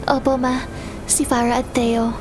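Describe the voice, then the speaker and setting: A woman's voice: high-pitched, little pitch movement, unhurried, music in the background. A woman acting a sweet, cheerful teenage schoolgirl in a Tagalog audio drama. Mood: happy.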